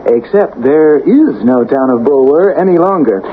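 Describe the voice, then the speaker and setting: Actor as dramatic radio reporter, tinny old recording. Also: male voice, fairly high pitch, animated, normal speed, slight background noise, lightly acted. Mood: earnest, probing. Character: earnest male radio reporter at a disaster scene.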